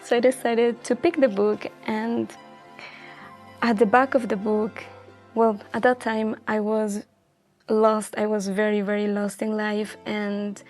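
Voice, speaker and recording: A woman's voice: medium pitch, a little mellow. A woman in her twenties from Ecuador, speaking as a guest sharing her personal story in an earnest, reflective way with many fillers. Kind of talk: personal testimony in a casual chat.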